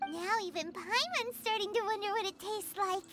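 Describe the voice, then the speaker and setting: A woman voicing a tiny, chirpy female fairy companion who chatters eagerly in a high, squeaky voice, recorded as game audio. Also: moderate pace. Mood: curious. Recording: game audio over loud music.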